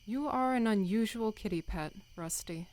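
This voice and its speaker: A woman's voice: medium pitch, a little mellow, natural voice. Woman playing a stern, dignified cat clan leader. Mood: guarded. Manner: measured, solemn, commanding. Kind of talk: audiobook fandub acting.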